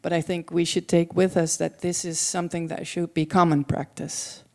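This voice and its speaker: Woman, native Dutch speaker, panel participant. Low, very mellow voice, considered and articulate, speaking into a handheld mic. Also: little pitch movement, moderate pace. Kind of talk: personal reflection at a public panel. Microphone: handheld microphone.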